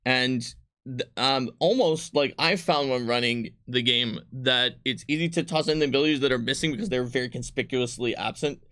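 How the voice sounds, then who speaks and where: A man's voice: mid-range voice, moderate pace, pushed and bright. A man working as a game designer, answering questions in casual, discursive panel chat and often rewording himself. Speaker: game designer on a company livestream.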